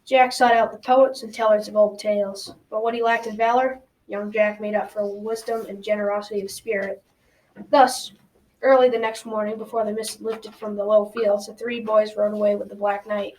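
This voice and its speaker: A woman's voice: medium pitch, little pitch movement, moderate pace, a little mellow, lightly acted. A teenage girl narrating a folktale as scripted storytelling in an amateur school radio drama. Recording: noisy, distant from the mic.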